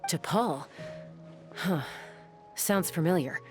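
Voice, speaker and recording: Tough, cool gunslinger interrogator. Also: female voice, lowish voice, very slow. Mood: stern. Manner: cool, commanding, sardonic. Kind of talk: video game voice acting. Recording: music under the voice.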